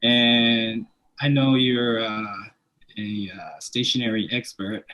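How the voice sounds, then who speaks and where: A man with a mid-range voice hosts an informal interview. He feels his way through sentences in short, hesitant pieces, pausing often as he goes. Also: mid-range voice, steady tone, bright, forceful tone, rough recording. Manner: halting, hesitant phrasing with pauses. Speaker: interview host. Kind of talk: casual interview hosting.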